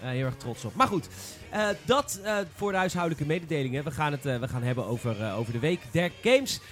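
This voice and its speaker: High-pitched man hosting a podcast. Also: lively intonation, quick pace, over music. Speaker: podcast host. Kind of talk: casual gaming podcast chat.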